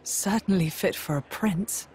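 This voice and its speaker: A man voicing a gentle, earnest young swordsman in a video game cutscene, mild and lightly teasing. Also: sits high, measured pace. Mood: mildly impressed.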